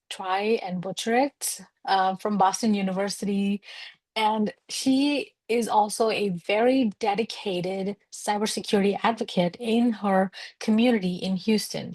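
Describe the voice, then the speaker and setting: Woman host reading a guest intro, steady and formal, dry room. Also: medium pitch, no room sound. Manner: measured, formal introduction with a brief aside.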